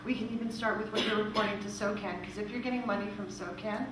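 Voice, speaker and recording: A woman presents and answers questions in an informal, explanatory way. The room is very echoey and she sounds distant from the mic, with clear noise behind her. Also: medium pitch, fairly even intonation, fast. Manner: conversational, explanatory. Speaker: music royalty organisation manager presenting. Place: meeting room in front of an audience.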